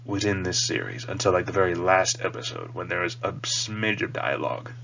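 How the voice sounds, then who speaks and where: A man with a low voice, a bit nasal and sniffly from a cold, hosts a casual review. He talks in an earnest, emphatic, wordy way. The recording is dull and lo-fi, with a faint hum behind him. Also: fairly bright tone. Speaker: video host reviewing a cartoon. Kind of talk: casual rambling review to the audience. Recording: dull lo-fi mic with audible hum.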